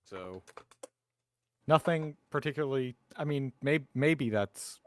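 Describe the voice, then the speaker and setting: Slow-talking man, halting and wry. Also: medium pitch, animated. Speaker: tabletop game player. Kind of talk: casual table talk.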